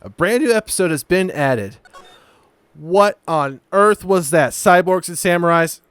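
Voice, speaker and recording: A man's voice: sits high, animated, a little slow, fairly bright tone, clear, resonant tone, dry recording. A man hosting a game playthrough, chatting casually in a joking, playful way. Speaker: gaming video host.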